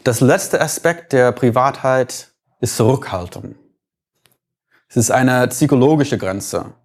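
A man's voice: mid-range voice, slow pace, a little mellow. A man from the United States with an American accent, giving an explanatory lecture, thoughtful and sometimes searching for words.

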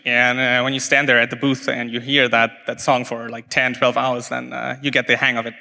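A man whose first language is German gives a conference talk, stopping and restarting often, with plenty of fillers. His voice is bright and forceful. The sound carries a long hall reverb. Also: medium pitch, little pitch movement, creaky. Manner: halting, many restarts and fillers. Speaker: conference speaker.

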